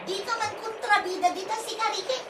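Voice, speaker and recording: Woman playing a stubborn young wife, high voice, snaps back, music underneath, ilocano comedy drama. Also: steady tone, a little fast. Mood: defensive.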